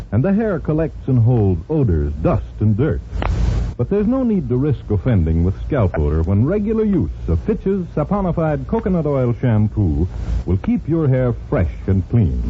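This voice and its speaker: A man with an American accent, a 1940s radio announcer reading sponsor ads with polished, emphatic salesmanship. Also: mid-range voice. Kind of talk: 1940s scripted radio advertising. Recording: muffled, faintly noisy 1940s radio.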